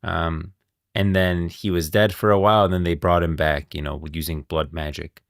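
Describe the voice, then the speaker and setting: A man's voice: deep voice, little pitch movement, normal speed, a bit bright, dry recording, studio quality. A man streaming casual game lore commentary, rambling and thinking aloud as he goes, often repeating himself. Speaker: streamer, host.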